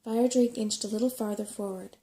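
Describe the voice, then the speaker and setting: A soft-spoken woman reading a bedtime story in a gentle, unhurried ASMR style. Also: medium pitch, steady tone. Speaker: audiobook-style reader.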